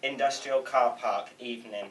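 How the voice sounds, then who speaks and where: A man narrates stage directions from a script. His voice is bright and crisp-edged, picked up slightly away from the mic with faint noise behind it. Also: mid-range voice, steady tone, normal speed, distant mic, rough recording. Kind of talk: scripted narration at a table read.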